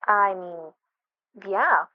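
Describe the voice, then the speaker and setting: A woman's voice: mid-range voice, lively intonation, extremely slow, no echo, lo-fi sound. A young woman acting in an ASMR roleplay as a flustered best friend hiding a crush, speaking casually with hesitant stammers. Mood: casual, evasive. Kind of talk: ASMR roleplay, one-sided conversational acting.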